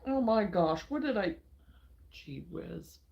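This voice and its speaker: A woman from Illinois hosting a relaxed livestream, chatting with viewers in a folksy way and laughing often. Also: medium pitch, slow, gravelly, lo-fi sound. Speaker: livestream host. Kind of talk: casual chat with viewers. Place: home.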